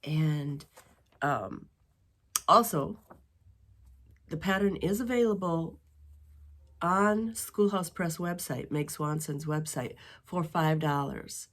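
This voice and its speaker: A middle-aged woman with a notably low voice chats from her dining room. Her head is stuffy from a spring cold, and she rambles along with plenty of ums and restarts. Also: animated, slow, long pauses, a bit bright. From western Wisconsin. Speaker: knitting podcast host. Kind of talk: casual chat.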